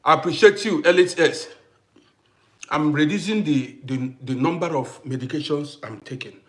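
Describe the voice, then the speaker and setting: A man hosting a casual livestream who greets viewers by name, repeats himself, and swings between loud bursts and quiet asides. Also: on the high side, moderate pace. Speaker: livestream host.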